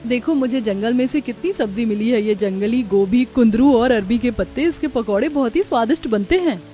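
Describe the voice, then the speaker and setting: A woman's voice: fairly high pitch, brisk, warm tone, close to an everyday voice. A woman voicing a resourceful, earnest young village daughter-in-law in a cartoon story. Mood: practical. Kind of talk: acted dialogue in a Hindi cartoon story.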